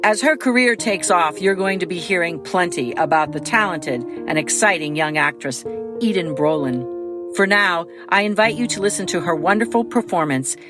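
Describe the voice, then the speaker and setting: A woman's voice: on the low side, animated, normal speed. A woman writer reading a scripted introduction to an audio drama in a storytelling way. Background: guitar music.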